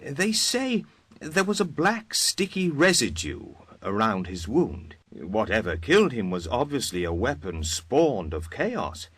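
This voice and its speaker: An actor playing a grim soldier giving a briefing in a fantasy game cutscene, with a husky voice. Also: male voice, mid-range voice, sing-song, a little slow, fairly bright tone, no echo, full character voice. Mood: grave. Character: grim soldier reporting to his mercenary commander.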